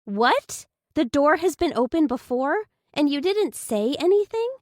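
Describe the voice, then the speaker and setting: A woman's voice: high voice, sing-song, unhurried, dry recording, studio quality, pitched above the performer's own voice. A woman voicing a frantic, protective aunt who fires anxious questions in a thriller audiobook. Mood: scolding.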